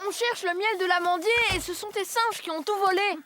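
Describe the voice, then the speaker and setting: A woman's voice: very high voice, brisk, a bit bright. A woman voicing a friendly young jungle boy who coaxes and leads directly in a French cartoon dub. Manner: coaxing, direct. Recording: music behind the voice.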